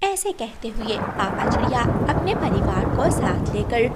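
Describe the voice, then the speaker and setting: A woman's voice: a little high, sing-song, unhurried. A woman narrating a scripted children's cartoon story as its storyteller. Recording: loud storm and thunder noise behind.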